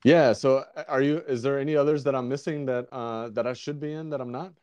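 A man's voice: medium pitch, fairly even intonation, fairly quick, a little mellow, slight background noise. A man hosting a casual interview podcast, talking in an easygoing, rambling way with many restarts. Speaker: podcast host.

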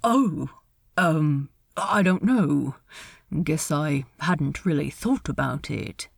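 A woman voicing a gruff, sullen male pirate captain in a storybook reading, terse and casual. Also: low-pitched, animated, takes it slowly, no echo, studio quality, voice pushed down, exaggerated voice. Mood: uneasy, gruff. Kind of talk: character voice in a fan fiction reading.

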